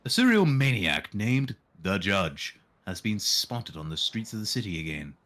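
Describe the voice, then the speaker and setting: A man's voice: on the low side, big pitch swings, normal speed, a bit bright. A man streaming a visual novel, reading it aloud and dropping in dry, irreverent asides. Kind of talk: live read-aloud of a game with casual joking asides.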